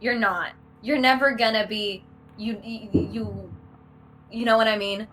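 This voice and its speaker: A woman's voice: on the high side, fairly even intonation, measured pace, a bit bright, faint music behind, poor audio. A woman chatting casually and supportively.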